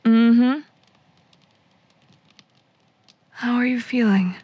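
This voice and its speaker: A young woman voiced by an actress in a naturalistic audio drama, caring and a little wry. She talks slowly in a higher voice, with hesitant, casual phrasing. The sound is close and dry. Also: fairly even intonation, slightly rough, no room sound, muffled recording. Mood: groggy, casual. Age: young adult. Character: shaken but caring young woman, wry pre-med student.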